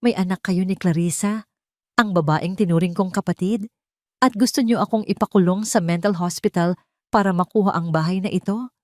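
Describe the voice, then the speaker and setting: A woman's voice: lowish voice, moderate pace, a little mellow, dry recording, studio quality, lightly acted. A woman voicing a betrayed, heartbroken wife who sounds wounded and strains to stay firm. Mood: hurt, accusing. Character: betrayed, heartbroken wife, trembling yet defiant.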